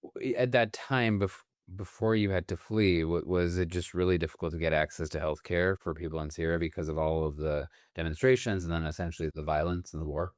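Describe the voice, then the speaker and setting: Male host, very deep voice, brisk questions, faint music under, dry sound. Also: fairly even intonation, dry recording. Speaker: podcast host. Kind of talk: interview questions.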